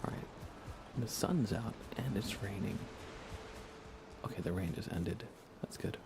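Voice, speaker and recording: A man's voice: fairly low pitch, measured pace, vocal fry, noisy background. A man giving murmured ASMR commentary while livestreaming a video game, often repeating his phrases in playful mock grumbling. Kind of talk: whispered ASMR gaming commentary.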